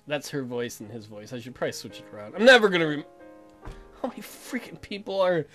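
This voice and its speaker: A man's voice: a little high, sing-song, fast talker, fairly bright tone, faint music behind. A man giving casual, irreverent and crude joking commentary as a let's play host.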